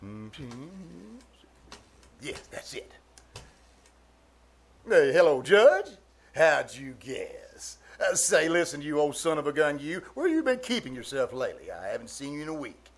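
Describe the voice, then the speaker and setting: Man playing a blustering, swooping high-voiced radio uncle. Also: big pitch swings, unhurried, breathy edge, heavily acted. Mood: jovial, wheedling. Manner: blustering, chuckling, hemming and hawing. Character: pompous, jovial, flirtatious middle-aged radio comedy bachelor uncle. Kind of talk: 1940s radio comedy acting before a live audience. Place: small-town theater stage.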